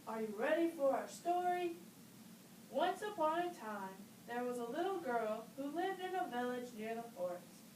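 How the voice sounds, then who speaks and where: A woman reads scripted narration for a children's puppet show. Her voice is high. She is recorded at a distance in a roomy space with faint noise. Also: expressive, moderate pace, darkish tone.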